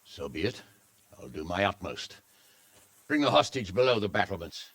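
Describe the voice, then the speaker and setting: An actor playing a practical, resolute medieval lord in a video game, speaking in a measured, pragmatic way. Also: male voice, deep voice, very animated, fairly bright tone, exaggerated voice. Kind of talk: dramatic video game acting.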